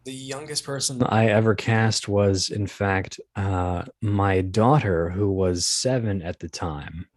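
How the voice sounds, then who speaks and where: A man with a fairly low voice, talking casually as a guest. He pauses and feels for words as he tells his anecdotes. The sound is dry, with little room echo. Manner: hesitant, halting, thinking aloud. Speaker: guest, audio drama casting director. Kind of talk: casual interview chat, telling anecdotes.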